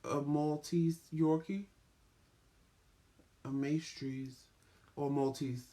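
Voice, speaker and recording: Animated, playful man in his fifties. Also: on the high side, extremely slow. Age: 50s. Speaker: talk show co-host. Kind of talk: casual banter, storytelling.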